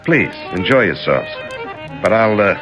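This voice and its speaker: A man's voice: lowish voice, big pitch swings, a little slow, dull audio. A man playing a suave, possessive racketeer in a radio drama, silky and courteous with a veiled threat. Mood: smoothly courteous. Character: suave, possessive Italian racketeer, jealous suitor. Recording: music behind the voice.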